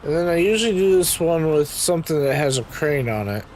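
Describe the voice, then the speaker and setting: Raspy-voiced man narrating his gameplay. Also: a little high, lively intonation, a little fast, a little mellow, soft background music, rough recording. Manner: muttering to himself while playing, frequent ums and throat-clearing. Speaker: game streamer. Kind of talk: casual gameplay commentary.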